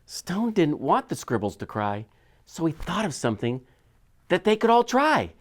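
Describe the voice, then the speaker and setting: A man's voice: a little high, sing-song, normal speed. A man reading a children's picture book aloud expressively, with dramatic shifts in his storytelling. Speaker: school superintendent reading aloud.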